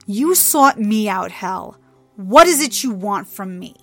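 A brash young demigoddess from a fantasy audiobook, voiced by a woman. She is blunt and irreverent, with an exasperated edge and some creak in a mid-pitched voice. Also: lively intonation, slow pace, a bit bright, no echo, faint music behind, full character voice. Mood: impatient.

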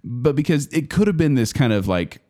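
A grown man co-hosting a casual movie review podcast, animated and quick to laugh. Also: mid-range voice. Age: adult. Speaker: podcast co-host.

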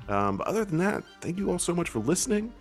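A man's voice: high-pitched, quick pace, faint music behind. A man hosting a podcast in casual chat, telling stories animatedly and laughing often. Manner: laughs often, animated storytelling with playful put-on voices. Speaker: podcast host.

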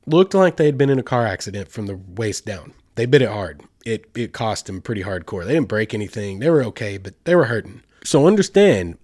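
Man, lowish pitch, stuffed-up head cold, folksy and slangy, casual rambling how-to talk, close dry sound. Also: a little mellow, no echo. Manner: folksy and informal, with slang and y'all. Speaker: host giving outdoor trip advice.

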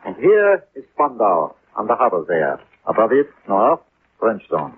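An actor playing a methodical German police lieutenant with a German accent and stilted, deliberate phrasing in a 1950s radio drama. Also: male voice, on the low side, huge pitch swings, slightly rough, heavily acted. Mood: guarded. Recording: old 1950s radio recording, muffled, faint noise.

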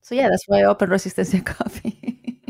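A woman's voice: mid-range voice, steady tone, a little fast, creaky, darkish tone, slightly rough. A woman from Chile with a Chilean Spanish accent, talking casually as an interview guest, often laughing and repeating words as she starts sentences. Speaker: guest, coffee shop owner.

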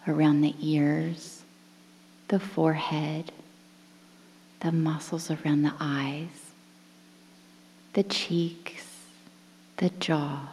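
Slow, low, hushed woman guiding relaxation. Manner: soothing, breathy, near-whispered, measured phrases with pauses. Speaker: guided meditation instructor. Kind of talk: guided relaxation meditation, softly spoken. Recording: long reverberant hall-like echo.

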